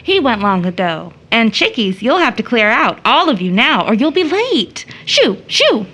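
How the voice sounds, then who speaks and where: An actress playing an affectionate young woman in an audio drama, with a tender and expressive manner. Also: a little high, unhurried, full character voice. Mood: playful, tender. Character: Rachel, affectionate young woman doting on small children. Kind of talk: stage play audio drama acting.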